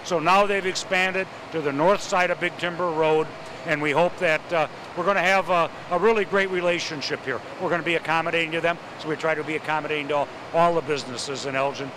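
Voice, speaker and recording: A man with a fairly high voice speaks as mayor in a casual interview, stumbling through uhs and restarts. Clear outdoor noise runs under him. Traffic hums in the background. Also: fairly even intonation. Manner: halting, many uhs and restarts. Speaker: city mayor.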